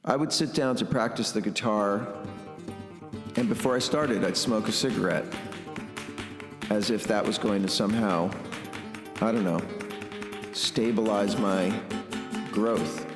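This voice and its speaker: A man delivering an intense, blunt, reflective personal monologue with deliberate pauses. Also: lowish voice. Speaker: podcast host and musician. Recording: roomy echo, long reverb, music underneath.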